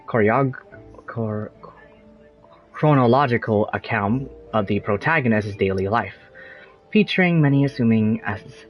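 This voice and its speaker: A man's voice: on the low side, measured pace. A man reading game dialogue aloud, stumbling over longer words. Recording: slightly dull sound, faint music.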